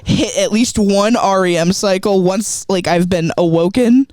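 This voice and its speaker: A woman's voice: medium pitch, measured pace, a little mellow, no room sound. A woman comedian guest bantering crudely, full of swearing and filler words. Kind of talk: casual comedic chat.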